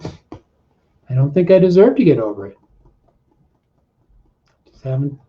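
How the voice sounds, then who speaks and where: A man working as a coach, leading a guided self-help exercise in short, deliberate, repeated phrases with pauses between them. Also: fairly high pitch, very animated. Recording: dull, muffled lo-fi audio.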